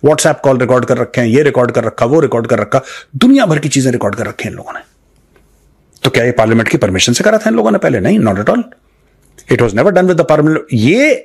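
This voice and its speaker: A man's voice: mid-range voice, slightly rough. A man with an Indian accent giving unscripted political commentary to viewers, emphatic, repeating phrases, asking rhetorical questions and switching into English phrases. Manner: mixes English phrases into talk.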